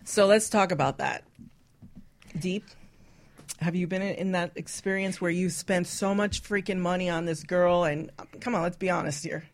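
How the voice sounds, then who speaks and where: A woman radio host with a slightly low voice chats casually and bawdily. She is brash and teasing, like someone needling friends on a talk show. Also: normal speed. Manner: teasing, brash, laughs often.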